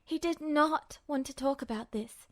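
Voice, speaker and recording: A woman with a high voice narrates fiction from a script. The recording is very dry, with the sound of a story read aloud for listeners. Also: measured pace, no echo. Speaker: podfic narrator.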